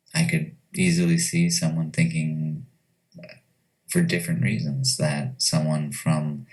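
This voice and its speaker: A man giving reflective, measured interview answers, pausing often as he thinks. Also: extremely low pitch. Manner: measured, thoughtful, hesitant with pauses. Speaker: retreat director being interviewed. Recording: slightly distant microphone.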